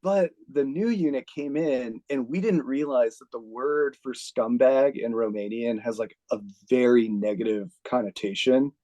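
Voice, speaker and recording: An American man, a retired army officer, telling stories as an interview guest in a chatty, laid-back way, full of "like" and quick laughs. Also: medium pitch, warm tone. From United States. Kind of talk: casual storytelling.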